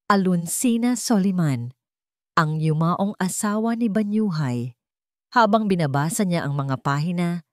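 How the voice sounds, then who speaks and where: A Filipino woman narrates a scripted romantic drama. Her voice sits on the low side for a woman, and the recording is dry, with very little room sound. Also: darkish tone, dry recording.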